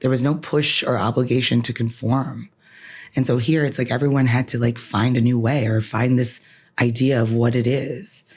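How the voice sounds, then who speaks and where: A woman's voice: extremely low pitch, steady tone, moderate pace, darkish tone, slightly rough. A woman attorney who grew up in Canada with Farsi as her first language, speaking earnestly and emphatically as a podcast guest. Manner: earnest, emphatic, often ends points with "right?". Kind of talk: podcast interview, personal reflection.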